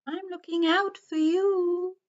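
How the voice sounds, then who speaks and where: A woman voicing a wise, watchful old storybook owl with hooting, drawn-out pronouncements. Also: very high-pitched, steady tone, muffled recording, voice pushed up.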